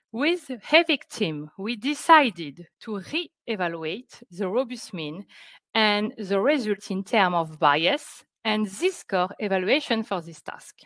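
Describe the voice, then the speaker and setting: A woman presenting scientific results on a webinar, speaking careful, halting English. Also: medium pitch, a bit bright, no echo. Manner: careful, halting non-native English delivery. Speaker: researcher presenting project results. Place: indoors on a video call.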